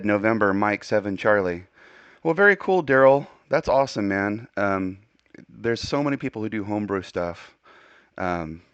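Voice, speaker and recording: A man with a fairly low, smooth voice talks casually over amateur radio. He is informal and enthusiastic, like someone sharing a favorite hobby with a friend. The sound is close and slightly muffled. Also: no echo. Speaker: ham radio operator, video channel host.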